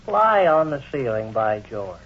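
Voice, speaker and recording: An actor in an old-time radio comedy playing an oblivious, cranky old uncle who rambles in a folksy way. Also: male voice, medium pitch, sing-song, measured pace, slight background noise. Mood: rambling. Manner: folksy, digressive muttering to himself. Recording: dull, muffled old radio recording.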